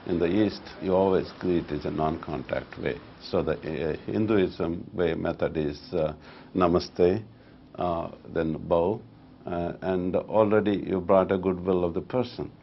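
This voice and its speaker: A man explaining haltingly in an interview, searching for words. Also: deep voice, fairly even intonation. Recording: muffled with clear background noise.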